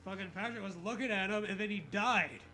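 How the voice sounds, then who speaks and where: Male co-host, high voice, casual comedic read-along with sweary asides, light music behind. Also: fast talker, bright, forceful tone. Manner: sweary, mocking. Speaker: co-host reading a story aloud. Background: background music.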